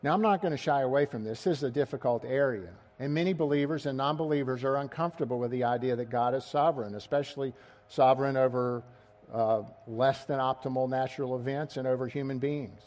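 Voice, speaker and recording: A man's voice: medium pitch, fast talker, a little mellow. A middle-aged man preaching an expository sermon, asking his listeners rhetorical questions and pressing key words with rising emphasis.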